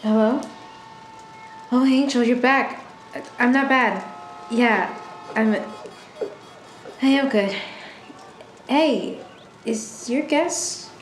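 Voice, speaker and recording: Actress as slow-speaking, desperate lonely woman, music behind. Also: on the high side. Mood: shaky relief. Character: desperate, lonely young woman, nervous and wounded. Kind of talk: audio drama acting. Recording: roomy recording under loud music.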